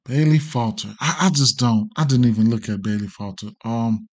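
A man's voice: mid-range voice, moderate pace, darkish tone. A middle-aged American man hosting a casual betting livestream, rambling off the cuff with plenty of slang and swearing. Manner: slangy, profane, hesitant and rambling. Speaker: sports betting show host.

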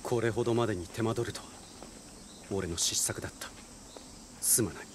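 An actor playing a proud, prickly young samurai commander in a game, curt and haughty with clipped phrases. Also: male voice, fairly low pitch, normal speed, a little hiss or hum, close to an everyday voice. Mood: subdued. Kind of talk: Japanese samurai game cutscene acting.

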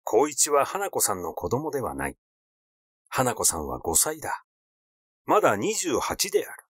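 A man's voice: medium pitch, huge pitch swings, normal speed, a bit bright, breathy edge, dry recording, clean studio sound. A man narrating a classic literary story as an audiobook reader.

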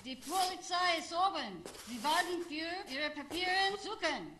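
Radio drama landlady, agitated and indignant, extremely high, echoey track with slight noise. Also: animated, a little slow, sharp tone, exaggerated voice. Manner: distressed, indignant. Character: agitated, scandalised Viennese landlady. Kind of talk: radio drama acting in German.

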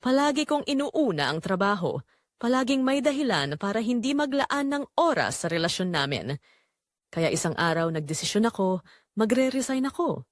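A woman's voice: a little high, very animated, normal speed, dry recording, clean studio sound. A young woman with a Filipino accent narrating a scripted first-person romance story in an emotive, reflective way.

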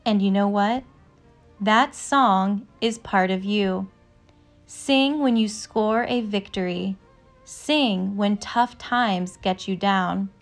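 A woman reads a children's storybook aloud in a mid-range voice. She takes her time, phrasing each line slowly and deliberately. Music plays behind her narration. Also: mid-range voice. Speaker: narrator reading a picture book aloud.

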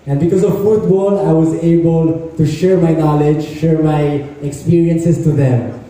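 A man's voice: fairly high pitch, steady tone, a little slow, dark tone. A man in his twenties from Italy, a pageant contestant giving a sincere, slightly hesitant self-introduction on stage. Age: 20s. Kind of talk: prepared self-introduction speech. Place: large indoor hall stage. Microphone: handheld mic, filmed from far. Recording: echoey hall from far off.

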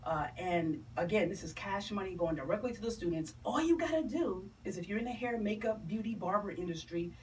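A woman's voice: medium pitch, lively intonation, a little fast, hardly any pauses, a little mellow. Middle-aged woman, enthusiastic, emphatic, promotional interview chat, distant mic, faint noise. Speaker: interview guest, entertainer and stage play producer. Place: small radio studio, camera across room. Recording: distant mic, noisy room ambience.

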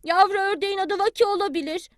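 Woman doing a high, eager little chick. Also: fast talker, dry recording, clean studio sound. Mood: curious.

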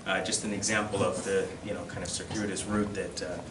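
Low-voiced man lecturing from far off. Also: level tone. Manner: hesitant, halting delivery with self-deprecating asides. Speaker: presenter at a collectors' society. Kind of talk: informal slide-show lecture. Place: classroom, indoors. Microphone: camera across the room. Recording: distant mic, echoey room, loud background noise.

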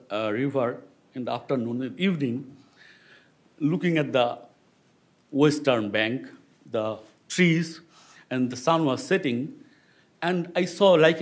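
Slow, halting older man at a lectern. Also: on the high side, fairly bright tone. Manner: slow, halting, with repeated restarts. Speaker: visiting writer on a panel. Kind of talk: reflective personal speech. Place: public library meeting room. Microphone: lectern microphone.

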